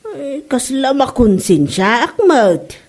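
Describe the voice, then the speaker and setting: A woman's voice: sits high, very animated, slow, darkish tone, full character voice. A woman playing a stern but neighborly mother in a comedy drama, with an Ilocano Filipino accent. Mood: reluctant. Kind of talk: amateur comedy radio-style drama.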